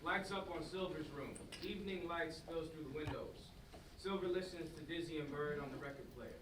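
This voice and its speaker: A man's voice: a little high, a little fast. A young man reading stage directions as scripted narration at a staged play reading. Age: young adult. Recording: echoey, distant mic, clear noise.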